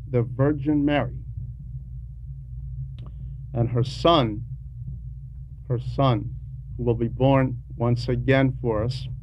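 Slow-speaking man preaching, muffled noisy recording. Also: mid-range voice. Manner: deliberate, emphatic repetition, explanatory. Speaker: preacher reading a translated homily. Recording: dull lo-fi sound with background noise.

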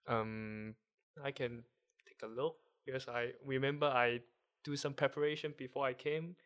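A man from Hong Kong whose first language is Cantonese, speaking English with a Hong Kong accent as a guest, explaining things haltingly while searching for words. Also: medium pitch, fairly even intonation, fairly bright tone, clear, resonant tone. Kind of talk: casual conversation.

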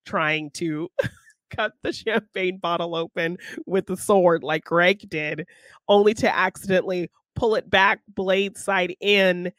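A woman's voice: on the low side, takes it slowly, fairly bright tone, dry recording, clean studio sound. A woman co-hosting a podcast, casually retelling a film in an informal, conversational way. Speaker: podcast co-host.

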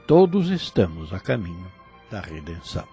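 Very husky Brazilian man, animated moral commentator. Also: deep voice, moderate pace, highs cut off, faint music behind. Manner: didactic, solemn. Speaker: spiritist commentator.

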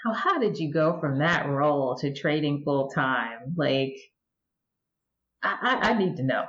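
A woman's voice: sits low, lively intonation, measured pace, a bit bright, lo-fi sound. A woman hosting a podcast, eager and encouraging toward her guest. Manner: encouraging, eager, repeats words. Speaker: podcast host.

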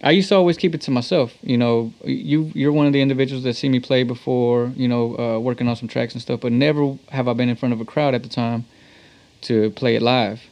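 A man's voice: mid-range voice, darkish tone. Male musician from Texas, interview guest. Casual storyteller, loose slang and swearing. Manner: slangy, profane, conversational. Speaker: musician guest, talk box player.

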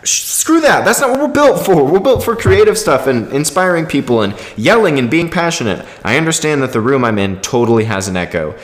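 A man co-hosting a casual podcast with lots of swearing. His pitch jumps around in an animated way as he hypes things up and encourages. The room around him echoes noticeably. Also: fairly quick, few pauses. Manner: passionate, hyped-up, encouraging. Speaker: podcast co-host. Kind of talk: casual, profane podcast chat. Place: echo-heavy indoor room.

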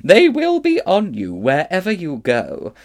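A man's voice: sits high, huge pitch swings, measured pace, pitched above the performer's own voice, exaggerated voice. A man voicing an imperious, menacing witch queen in a storybook, commanding and haughty. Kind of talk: audiobook character acting.

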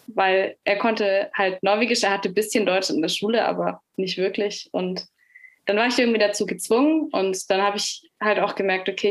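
A young woman from Germany with a Franconian German accent, talking casually as a musician guest on a podcast, with lots of fillers and easy laughs. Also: mid-range voice. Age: young adult. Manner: chatty, frequent fillers, laughs easily. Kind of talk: casual interview chat.

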